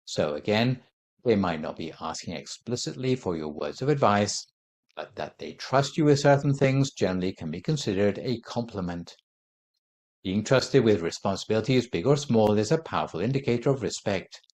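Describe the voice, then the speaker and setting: A Buddhist monk giving a calm dharma talk, explaining his points in a measured, gentle way. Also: fairly low pitch. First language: not Thai.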